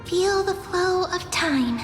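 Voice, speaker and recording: Tiny magical game sidekick: very high, bouncy intonation, perky and helpful, music underneath. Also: female voice, lively intonation, slow, heavily acted. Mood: eager, cheerful. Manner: perky, cute. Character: tiny cheerful magical companion creature, eager and helpful. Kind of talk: game voice acting. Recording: echoey reverb, music behind.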